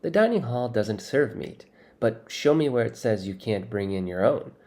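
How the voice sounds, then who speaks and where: A man's voice: medium pitch, lively intonation, normal speed, a little mellow, close to an everyday voice. A man voicing a smug, breezy teenage boy who likes breaking rules, in an audiobook story. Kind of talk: acted audiobook dialogue.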